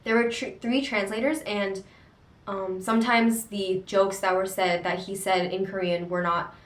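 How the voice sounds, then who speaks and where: A young woman co-hosting a casual book discussion, speaking thoughtfully with frequent hesitant pauses. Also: medium pitch, steady tone. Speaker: co-host of a book discussion channel. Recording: echoey room, distant mic.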